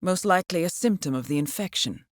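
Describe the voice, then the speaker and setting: Casting: actress for game intelligence handler, female, composed and understated, slightly low pitch, precise formal briefings, very dry recording. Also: animated, dry recording, natural voice. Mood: cool, efficient. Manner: measured, formal, coolly understated. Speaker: mission handler. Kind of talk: scripted video game voice acting, mission briefing.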